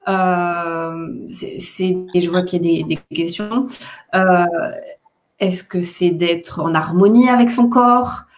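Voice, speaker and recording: A woman's voice: fairly low pitch, steady tone, takes it slowly. A woman hosting a casual online interview, tentative and repeating words as she phrases her questions. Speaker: host of an online live session.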